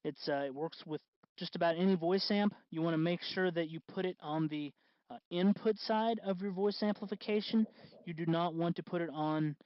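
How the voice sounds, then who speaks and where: A man hosting a do-it-yourself tutorial in casual, unscripted talk, halting and correcting himself as he goes. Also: a little high, smooth, no room sound, dull audio. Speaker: host of a DIY costume electronics tutorial.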